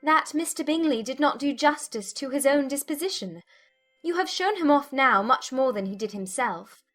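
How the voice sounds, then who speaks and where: Witty, challenging young gentlewoman played by a woman, fairly high voice, articulate period audiobook drama, faint music. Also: expressive, moderate pace. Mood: teasing. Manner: formal, articulate, pointed. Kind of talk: dramatised classic novel audiobook.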